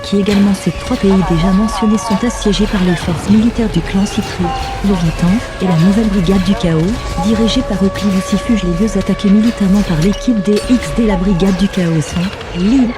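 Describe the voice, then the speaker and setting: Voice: mid-range voice, fairly quick, hardly any pauses. A formal, synthetic-sounding announcer reading a scripted emergency broadcast alert. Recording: music behind the voice.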